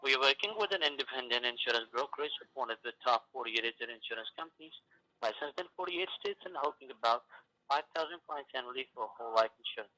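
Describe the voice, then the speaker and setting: A man from Florida selling insurance over the phone in a brisk, businesslike way. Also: mid-range voice, sharp tone. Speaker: insurance salesman. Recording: tinny phone line.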